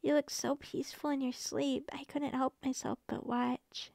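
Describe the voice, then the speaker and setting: A woman voicing a possessive, obsessive young girlfriend in an ASMR roleplay, speaking sweetly and intimately with soft whispers and a creepy edge under the doting. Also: sits high, flat delivery, normal speed, creaky, no room sound, studio quality. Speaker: ASMR voice actress.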